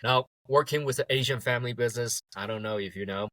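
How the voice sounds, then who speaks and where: A man, a guest talking in a relaxed way and laughing easily. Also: mid-range voice, fast talker, dry recording. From Taiwan. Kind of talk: casual interview.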